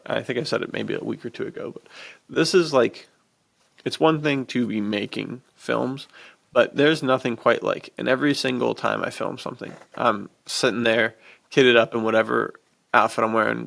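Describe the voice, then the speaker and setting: A man hosts a casual podcast with obvious enthusiasm. His voice is full of heavy vocal fry at an average pitch. He talks in easy, slangy bro speak. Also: steady tone, moderate pace, fairly bright tone. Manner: bro slang, often says dude. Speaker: podcast host.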